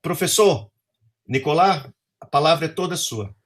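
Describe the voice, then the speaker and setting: A man with a somewhat high voice hosts an academic webinar over a video call. He reads a formal introduction and keeps adding small fillers as he goes. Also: animated, moderate pace. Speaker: webinar host. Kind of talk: reading a speaker introduction.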